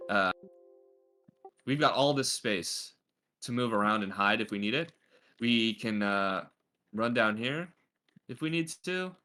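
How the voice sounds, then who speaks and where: Guy w a stuffy nose breaking down a game replay, kinda low voice but bright and forward, lots of ums. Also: lively intonation, unhurried, pauses often. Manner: chatty, lots of filler words. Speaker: game coach. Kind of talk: casual gameplay review.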